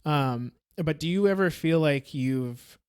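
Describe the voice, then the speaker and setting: A Brazilian-born man with an American accent hosting a casual podcast interview, relaxed and often restarting phrases. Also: a little high, slow pace, clean tone. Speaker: podcast host.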